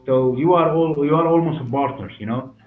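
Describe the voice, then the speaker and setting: A man in his forties with a Syrian Arabic accent, answering interview questions in halting, repetitive non-native English. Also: a little high. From Damascus, Syria. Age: 40s. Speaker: hair clinic director and interview guest. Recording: roomy, muffled, narrow sound with music behind.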